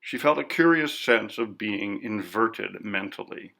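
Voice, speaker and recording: A man reading a story as scripted narration in a measured, literary delivery. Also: lowish voice, gravelly, bright, forceful tone. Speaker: audiobook narrator.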